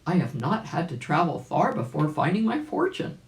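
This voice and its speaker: A woman voicing a puzzled, polite old gentleman rabbit in a children's storybook, mild, curious and trusting. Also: low-pitched, big pitch swings, normal speed, roomy sound. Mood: hopeful musing.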